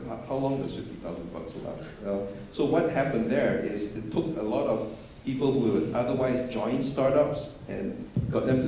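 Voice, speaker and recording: Man, lowish voice, mellow, matter-of-fact, many restarts, echoey hall, distant. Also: slight background noise. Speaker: panelist. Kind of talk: panel discussion.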